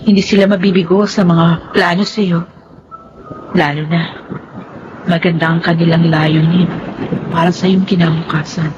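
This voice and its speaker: A woman playing a dignified, hurt young sweetheart in a Filipino drama, speaking with solemn, deliberate resolve. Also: lowish voice, little pitch movement, lightly acted. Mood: sad resolve. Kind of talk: Filipino radio drama acting. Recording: dull lo-fi audio, noisy.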